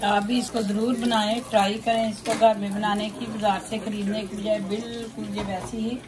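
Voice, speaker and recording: A woman's voice: medium pitch, little pitch movement, moderate pace. A woman giving a casual step-by-step cooking demonstration in a home kitchen, often repeating her phrases as she shows each step. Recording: noisy home kitchen recording.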